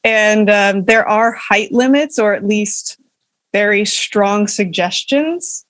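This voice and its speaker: A woman opera singer who talks at a middle pitch in a wry, chatty way and pokes fun at herself. She is recorded in a small room at home, and the sound is close and dry. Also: slow pace, fairly bright tone, no room sound. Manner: self-deprecating, wry, chatty. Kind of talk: casual interview chat.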